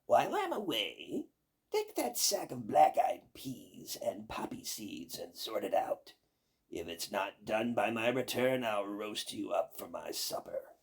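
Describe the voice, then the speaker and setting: A man voicing a bossy, threatening old witch from a folk tale, with a raspy, creaky voice and slow theatrical commands. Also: lowish voice, huge pitch swings, full character voice.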